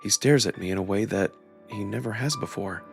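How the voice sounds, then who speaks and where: A man's voice: lowish voice, brisk, creaky, faint music behind. A man giving a dramatic first-person narration of a visual novel story. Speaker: narrator and voice performer.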